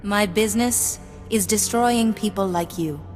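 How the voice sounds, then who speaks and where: An actress playing a cool, ruthless warrior mystic in a video game, curt, assured and coldly sardonic. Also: female voice, mid-range voice, a little slow, in a large hall, soft background music, lightly acted. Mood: curt, menacing. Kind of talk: video game dialogue acting.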